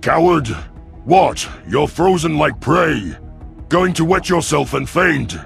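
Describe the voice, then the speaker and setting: Man putting on a very gravelly young alien reptile warrior. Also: on the high side, lively intonation, slow, faint music behind, voice pushed up, heavily acted. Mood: jeering. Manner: boastful, sneering. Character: young alien reptilian chief hunter, arrogant, taunting brute.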